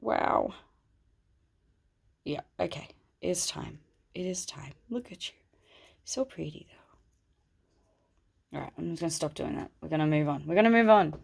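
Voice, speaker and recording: A woman's voice: low-pitched, lively intonation, moderate pace, vocal fry, pauses often, a little mellow, slightly rough. A woman with a stuffy, snuffly voice from a cold, chatting casually at home as she shows her plants. She rambles, recorded on her phone camera. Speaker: plant vlogger. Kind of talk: casual chat while handling plants. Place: at home indoors.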